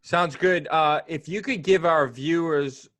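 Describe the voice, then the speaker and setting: A man co-hosting a video call interview, asking relaxed, conversational questions. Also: fairly high pitch, measured pace. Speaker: co-host. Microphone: video call microphone.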